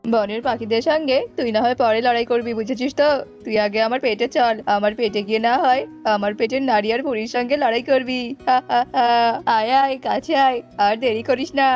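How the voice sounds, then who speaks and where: A woman's voice: fairly high pitch, fairly even intonation, a little fast, a little mellow, lightly acted. Woman voicing a bossy, greedy cartoon fox uncle. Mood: menacing hunger. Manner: commanding, menacing, taunting. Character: greedy, bullying male fox uncle hungry for chicks. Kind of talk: children's animated folk-tale acting. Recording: dull lo-fi audio with music behind.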